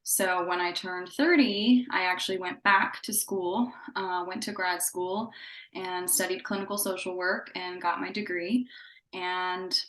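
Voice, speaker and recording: A woman in her thirties who grew up in Indonesia talks about her work as a psychotherapist in a chatty, upbeat way. Her voice sits fairly low and carries some room echo. Fillers and light laughs run through her speech. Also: fairly even intonation, a little slow, a bit bright. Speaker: psychotherapist guest. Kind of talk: casual interview talk.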